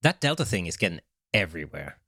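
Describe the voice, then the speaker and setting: A man's voice: deep voice, sing-song, fast talker, bright, forceful tone, no room sound, clean studio sound. A British man hosting a casual interview, asking easygoing, rambling questions full of fillers. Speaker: podcast host.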